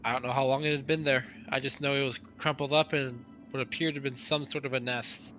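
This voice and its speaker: A man's voice: medium pitch, little pitch movement, fairly quick, a bit bright, faint music behind. A man speaking casually in character as a player in a tabletop roleplaying game.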